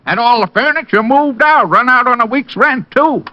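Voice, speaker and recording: A man's voice: very high-pitched, lively intonation, normal speed, no room sound. An actor playing a cackling old night watchman in a 1950s radio drama, with a folksy, laughing drawl. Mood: cackling, amused. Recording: dull lo-fi old radio recording.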